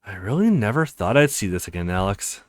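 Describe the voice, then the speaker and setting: Sentimental sister read by a man, lively intonation. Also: on the low side, fairly quick, no room sound, clean studio sound, pitched below the performer's own voice. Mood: wistful. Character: adult sister, sentimental, easily embarrassed. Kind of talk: visual novel dialogue read aloud.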